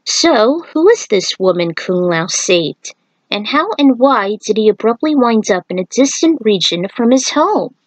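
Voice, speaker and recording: A woman's voice: fairly high pitch, sing-song, measured pace, dry recording, low-quality recording. Woman reading fan fiction, dry close sound. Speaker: fan fiction writer and podfic reader. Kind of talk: amateur story narration with author's notes.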